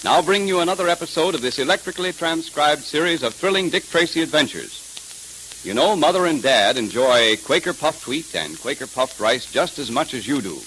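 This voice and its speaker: A man's voice: fairly high pitch, lively intonation, normal speed, fairly bright tone, low-quality recording. An American man reading scripted ads and story narration as an emphatic, dramatic 1930s radio announcer. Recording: hissy 1930s radio transcription.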